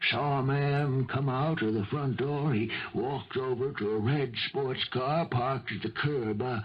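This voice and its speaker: Nervous male witness played by an actor, slow, husky, fussy and halting; dull vintage radio recording. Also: mid-range voice, steady tone, close to an everyday voice. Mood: hesitant. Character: hesitant, nervous male neighbour giving witness testimony. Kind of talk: 1970s radio mystery acting.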